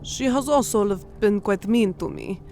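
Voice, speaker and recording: A woman's voice: medium pitch, moderate pace, darkish tone, a little hiss or hum, lightly acted. A woman voicing a shy, aggrieved female fantasy creature who complains plaintively in quirky, oddly built sentences. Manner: quirky non-native-like grammar, plaintive complaints. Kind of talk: fantasy audio story character acting.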